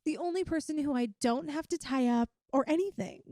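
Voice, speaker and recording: A woman playing a doting, possessive young yandere girlfriend in an ASMR-style roleplay, speaking to the listener in a cutesy, coaxing, teasing way with drawn-out emphasis. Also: high-pitched, mellow, dry recording, studio quality, natural voice. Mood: clingy, adoring.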